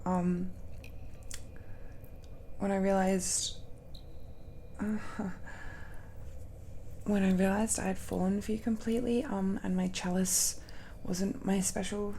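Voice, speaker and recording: A woman's voice: medium pitch, flat delivery, slow, long pauses, warm tone, slight background noise. A woman playing a tender, possessive dragon-descended girlfriend in an intimate romantic audio roleplay, speaking hesitantly and breathily, often near a whisper. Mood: earnest, hesitant.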